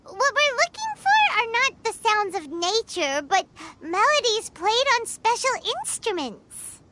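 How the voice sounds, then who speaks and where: A woman voicing a tiny, excitable, childlike fairy companion with a squeaky voice in a video game. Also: unhurried, noisy background, exaggerated voice. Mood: sheepish, huffy. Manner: childlike, refers to herself in the third person. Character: tiny excitable floating fairy companion girl, easily indignant.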